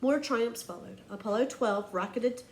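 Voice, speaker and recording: A woman reading a children's picture book aloud like a teacher, with expressive, emphatic storytelling. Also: a little high, moderate pace, a little hiss or hum, poor audio.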